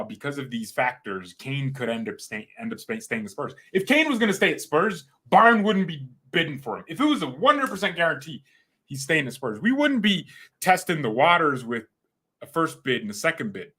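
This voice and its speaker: A man hosting a fan channel, chatting casually with his live chat, rambling and joking with sudden loud outbursts. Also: mid-range voice, big pitch swings, normal speed, husky. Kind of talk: casual livestream chat with viewers.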